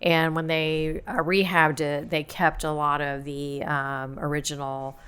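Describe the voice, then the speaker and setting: A woman's voice: deep voice, flat delivery, unhurried, fairly bright tone, clean tone. A woman hosting a casual podcast interview, reacting with upbeat enthusiasm. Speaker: podcast host.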